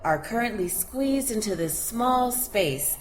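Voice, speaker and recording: An actress playing an eager, dramatic field reporter aboard a spaceship in a science-fiction audio drama. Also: female voice, a little high, animated, takes it slowly, a little hiss or hum. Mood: composed, curious. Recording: loud ship ambience behind voice.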